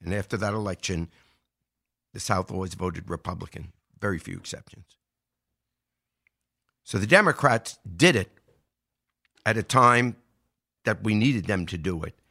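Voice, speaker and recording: A man with a fairly low voice delivers political commentary. He is earnest and emphatic, circling back to repeat his main points as he builds an argument. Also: measured pace, creaky, pauses often, pushed and bright. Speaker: show commentator. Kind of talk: political commentary before a live audience.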